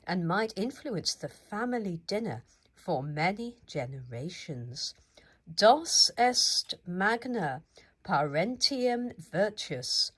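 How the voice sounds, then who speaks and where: A woman with a medium-pitched voice reads literary non-fiction aloud. Her delivery is measured and formal, in the style of audiobook narration of a memoir. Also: a bit bright, slightly rough. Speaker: audiobook reader.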